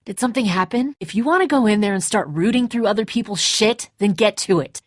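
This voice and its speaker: A woman's voice: medium pitch, expressive, fairly quick, dry recording, clean studio sound, lightly acted. An actress playing a tense, defensive woman who leads a group of survivors in a dramatic video game, blunt, confrontational and exasperated. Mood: uneasy, suspicious.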